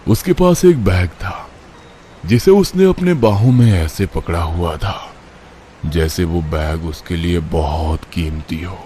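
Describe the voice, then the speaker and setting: Deep-voiced man narrating horror, dramatic swings. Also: lively intonation, takes it slowly, mellow, a little hiss or hum. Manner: dramatic, suspenseful. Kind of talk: scripted horror story narration.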